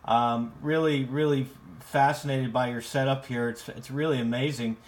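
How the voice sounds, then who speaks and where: American man in halting radio chat. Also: mid-range voice, steady tone. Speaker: amateur radio operator. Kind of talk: casual ham radio conversation.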